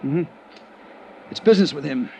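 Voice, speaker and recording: A man playing a methodical, pedantic fire investigator, explaining things deliberately in folksy 1950s radio drama style. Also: on the high side, expressive, vocal fry, mellow. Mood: earnest, confiding. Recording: dull, noisy vintage radio recording.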